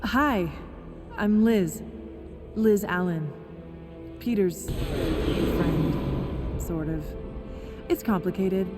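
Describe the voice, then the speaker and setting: An actress with an American accent playing a guarded, resolute young informant in a video game, dry and a little halting. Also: female voice, lowish voice, music in the background. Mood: awkward.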